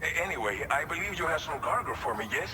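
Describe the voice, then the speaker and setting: A man's voice: fairly high pitch, animated, fast talker, background noise, lightly acted. Distant, reverberant actor as a grateful settlement man. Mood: relieved, welcoming. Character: earnest, grateful male settlement representative, polite. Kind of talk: video game cutscene dialogue. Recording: distant, long reverb, music behind.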